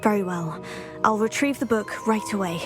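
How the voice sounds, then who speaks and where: A woman's voice: mid-range voice, normal speed, music in the background, lightly acted. A woman playing a polite, curious teenage witch student in a video game, composed and earnest.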